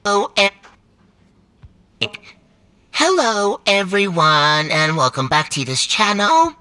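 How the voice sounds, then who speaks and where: A woman's voice: mid-range voice, sing-song, slow pace, sharp tone, no room sound. A woman giving a sample greeting, played back through a voice-changing app filter.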